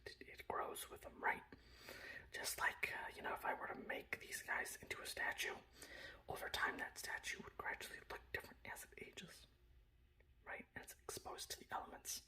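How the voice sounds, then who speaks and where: A man speaking in a breathy whisper, presenting his hobby in a casual, rambling ASMR style. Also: normal speed, poor audio. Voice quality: breathy whisper with soft low speech. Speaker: hobbyist miniature painter presenting his collection. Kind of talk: whispered ASMR show-and-tell.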